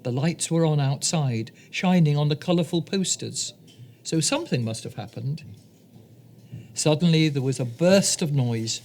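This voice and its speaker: Slow, deliberate woman storyteller. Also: sits low, expressive, darkish tone, a little hiss or hum. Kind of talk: scripted children's story narration.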